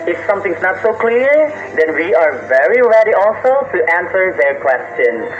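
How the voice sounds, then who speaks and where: High-voiced Filipino male radio teacher. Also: sing-song, moderate pace. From Philippines. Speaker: radio lesson host and teacher. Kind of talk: live educational radio hosting, scripted banter. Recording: muffled noisy radio broadcast audio.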